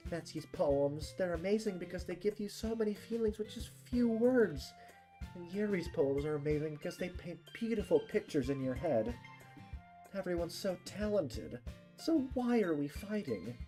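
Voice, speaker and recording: A woman's voice: lowish voice, animated, moderate pace, warm tone, faint music behind, voice pushed up, natural voice. A woman voicing a sweet, innocent teenage anime girl peacemaker in a visual novel, heartfelt and pleading. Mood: pleading, earnest.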